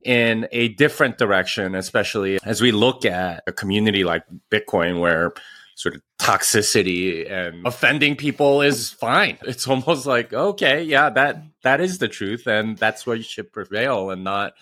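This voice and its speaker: A man in his forties, born in Korea, talks as a podcast guest. His low voice has a forceful, bright edge, and it sounds dry and close. He speaks reflectively, stopping often to restart a thought. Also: no echo. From South Korea. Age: 40s. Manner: reflective, pausing with frequent restarts. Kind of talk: conversational interview.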